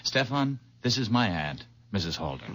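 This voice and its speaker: A man's voice: fairly low pitch, normal speed. An actor playing an eager, friendly young man in a radio drama. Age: young adult. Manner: eager and animated. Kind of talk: 1970s radio drama acting. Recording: noisy old radio recording.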